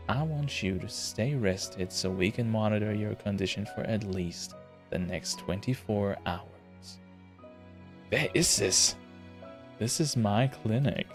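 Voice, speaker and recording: A man voicing a young male doctor in a visual novel, speaking quietly and slowly with an oddly intimate tone. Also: fairly low pitch. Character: young male doctor, soft-spoken and creepy.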